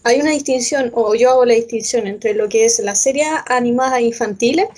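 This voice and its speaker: Woman explaining in measured tones. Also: on the high side, a little mellow. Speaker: podcast guest. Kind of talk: thoughtful discussion.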